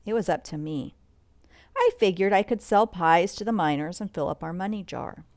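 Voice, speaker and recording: A woman reading a children's bedtime story aloud in an expressive read-along style, sometimes commenting as she goes. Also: fairly low pitch, normal speed, dry recording. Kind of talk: bedtime story read-along narration with asides about pictures.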